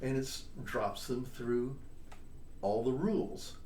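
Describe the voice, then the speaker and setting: A man's voice: mid-range voice, slow pace, a little mellow. An older man, a composer, chatting as an interview guest and telling stories, now and then checking in with "all right?". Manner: chatty storyteller, tags points with "all right?" and "you know?". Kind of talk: casual storytelling interview. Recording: echoey room, distant mic, faint noise.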